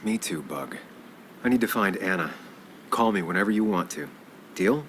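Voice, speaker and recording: An actor playing an earnest, caring journalist, troubled but steady, in a video game. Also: male voice, low voice, normal speed, a little hiss or hum. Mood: gentle.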